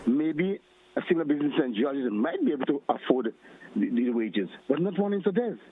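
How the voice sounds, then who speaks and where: A man's voice: on the high side, big pitch swings, brisk, a little hiss or hum. A man calling in to a radio show, arguing with rising, emphatic questions. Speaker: caller. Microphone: phone line. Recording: tinny phone line.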